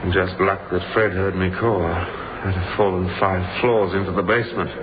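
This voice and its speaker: An actor playing a trapped, freezing businessman in a radio thriller, talking to himself in a halting, weakening, despairing way. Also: low-pitched, fairly even intonation, lightly acted. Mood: grim musing. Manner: halting, brooding monologue broken by desperate outbursts. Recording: muffled lo-fi audio, clearly noisy.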